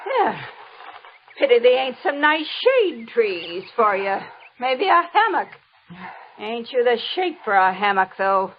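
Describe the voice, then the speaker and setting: High-pitched, scolding, bitter older washerwoman. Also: very animated, slow. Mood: mocking. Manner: scolding, mocking, folksy rustic way of talking. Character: harsh, bitter older washerwoman, bullying and sarcastic. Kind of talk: old-time radio drama acting. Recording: noisy, dull old radio recording.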